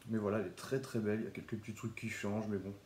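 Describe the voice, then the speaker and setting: Young man with a cold, mellow low voice, chatty card vlog in an echoey room. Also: very fast, a little hiss or hum. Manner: relaxed, chatty, with small restarts. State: has a cold, stuffy. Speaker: Pokémon card collector YouTuber. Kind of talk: casual card showcase vlog.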